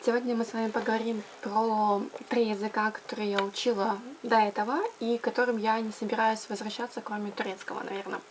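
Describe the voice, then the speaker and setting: A woman's voice: medium pitch, little pitch movement, quick pace, hardly any pauses, a little hiss or hum. A young woman from Karelia chatting casually to camera about learning languages, with frequent fillers and self-corrections. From Karelia, Russia. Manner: chatty, lots of fillers and restarts. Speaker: language blogger.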